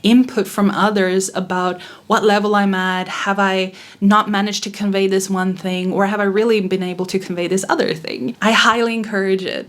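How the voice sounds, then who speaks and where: A young woman from Sweden with a Swedish accent talks frankly and casually as a vlogger giving writing advice, laughing often and swearing now and then. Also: mid-range voice.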